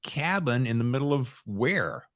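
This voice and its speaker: Guy hosting a podcast, chatty and informal, jokey, wanders into little asides, medium pitch. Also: big pitch swings, a little slow, fairly bright tone. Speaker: podcast host.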